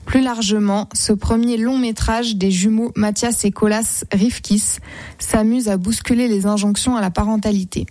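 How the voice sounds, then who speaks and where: A woman co-hosting local radio, reading film and show listings smoothly. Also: mid-range voice, steady tone, moderate pace, dark tone, no room sound.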